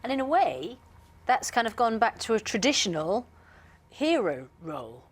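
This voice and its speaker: A woman's voice: fairly high pitch, big pitch swings, moderate pace, breathy edge. Woman, breakfast television presenter. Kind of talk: conversational studio interview.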